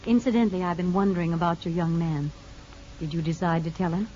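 An actress playing a kindly parole officer in a 1950s radio drama, speaking in a calm, measured, reassuring way. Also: female voice, fairly low pitch, close to an everyday voice. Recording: dull 1950s radio recording.

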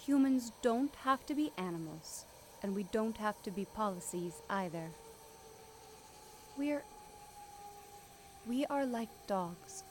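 An actress playing a gentle, steadfast, kind-hearted woman in an audio drama, speaking in a simple, sincere, deliberate way. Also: medium pitch, expressive, slight background noise. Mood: earnest.

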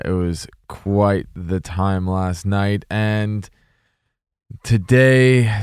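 A man hosting a casual interview podcast, his voice slightly hoarse and his manner relaxed and chatty. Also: low-pitched, no echo, clean studio sound. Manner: relaxed, chatty, laughs easily. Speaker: podcast host.

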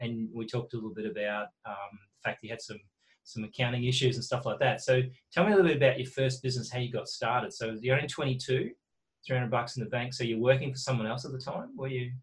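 Fast-talking man hosting. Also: mid-range voice, rough recording. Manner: chatty, laughs often, restarts sentences. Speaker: podcast host. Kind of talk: conversational interview.

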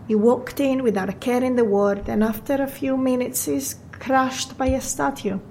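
A high-pitched Italian waitress, chatty yet fatalistic. Her English is accented and wistful, with natural audio drama delivery. A faint rumbling noise sits behind her. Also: steady tone, normal speed, mellow. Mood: fatalistic, reflective. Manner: wistful, non-native English phrasing. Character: friendly, chatty, fatalistic Venetian café waitress.

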